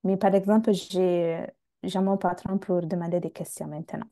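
A young woman whose first language is Italian, talking on a video call, speaking slowly and searching for words as she chats with the host. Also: fairly low pitch, little pitch movement, warm tone. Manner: slow, searching for words with restarts. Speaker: guest. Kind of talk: casual chat. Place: home room. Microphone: earphone mic on a video call.

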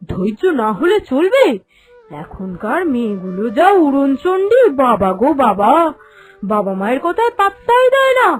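Cartoon mother, middle-aged, boastful and preachy, female performer. High voice, swooping delivery. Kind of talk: animated cartoon voice acting.

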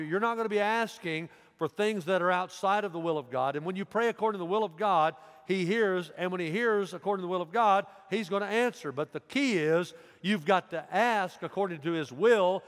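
A man's voice: high-pitched, brisk, few pauses, a bit bright. An older man preaching a sermon from a church stage, direct and emphatic. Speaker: pastor. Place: large church sanctuary stage. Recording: long hall reverb in big sanctuary.

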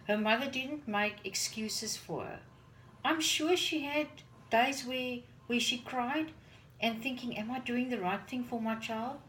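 A woman's voice: on the high side, measured pace, fairly bright tone, rough recording. Woman, forties, native afrikaans speaker, earnest and searching for words, home kitchen, phone camera, faint noise. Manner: earnest, repeats words while searching for them; moving about while doing chores. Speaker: parent vlogger. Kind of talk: casual talk to camera. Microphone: phone camera on the counter.

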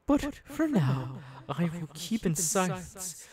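A man playing a menacing, unhinged young supervillain boss in an ASMR audio roleplay, threatening his underlings slowly and deliberately. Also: high voice, sing-song, a little mellow, heavily acted. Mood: strained, brooding.

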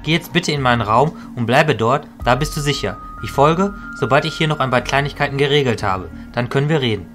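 A man acts a calm, concerned mentor of mages in a fantasy radio play. His voice sits at a middle pitch and sounds measured and reassuring, with music underneath. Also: natural voice. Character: busy, reassuring male mentor of illusionist mages. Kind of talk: amateur German fantasy audio drama acting.

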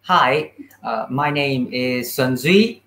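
A seventeen-year-old male, answering as an interview guest with halting, careful phrasing over a video call. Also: mid-range voice, a bit bright. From Vietnam. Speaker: student puppeteer, interview guest. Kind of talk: casual interview talk. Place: indoor room, on a video call. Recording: roomy video call sound.

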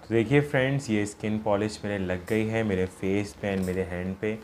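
A man's voice: on the low side, normal speed, over music. A young man hosting a casual vlog, chatting to his viewers from a barber salon and interviewing the barber. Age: young adult. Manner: friendly, chatty, addresses viewers directly. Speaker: vlogger and host. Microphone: phone camera at arm's length.

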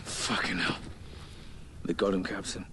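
Grim loyal soldier, low and flat, game cutscene, loud noise behind. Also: male voice, a little slow. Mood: grim, resolute. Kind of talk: video game cutscene acting.

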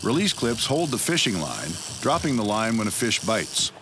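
A man's voice: on the low side, sing-song, normal speed, noisy background. A man narrating and hosting a fishing television show in scripted narration. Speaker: narrator and host. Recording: music under the voice.

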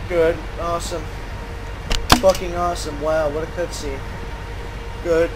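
High-pitched sarcastic man commentating on a game. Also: little pitch movement, a little slow. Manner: sarcastic mock amazement, repetitive, slangy. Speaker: gamer commentating on his playthrough. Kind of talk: mocking gameplay commentary. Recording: noisy, game audio behind voice.

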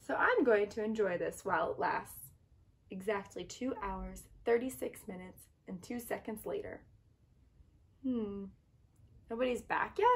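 A young woman reading a children's picture book aloud at home in an expressive, clearly phrased way. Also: medium pitch, measured pace. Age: young adult. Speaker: story-time reader. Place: small living room at home, indoors.

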